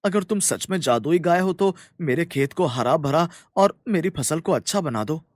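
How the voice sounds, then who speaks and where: A man's voice: a little high, animated, moderate pace, no echo, studio quality, natural voice. A man playing an earnest, respectful young village farmer, a devoted son in a cartoon story. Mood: hopeful pleading.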